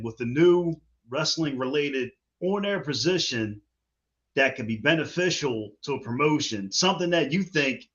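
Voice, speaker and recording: A man's voice: mid-range voice, fairly bright tone, dull audio. A man hosting a wrestling interview show, speaking casually, often repeating and stumbling over his words. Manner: repetitive, stumbling, laughs often. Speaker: show host.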